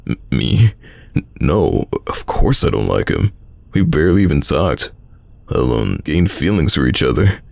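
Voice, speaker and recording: Guy playing young dude hiding a crush, roleplay audio, super gravelly, pitch moves around a lot, teasing n casual. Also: very animated, dry recording, heavily acted. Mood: flustered, guarded. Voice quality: deep, gravelly. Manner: casual, conversational, halting, teasing. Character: young man, best friend's sibling, secretly smitten, teasing and guarded. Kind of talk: roleplay audio drama, intimate casual chat.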